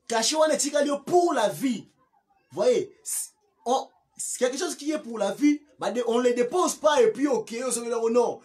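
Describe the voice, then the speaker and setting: Male political commentator, Congolese accent, high register, emphatic and repetitive, unscripted with halting restarts. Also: darkish tone. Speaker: political party activist and commentator.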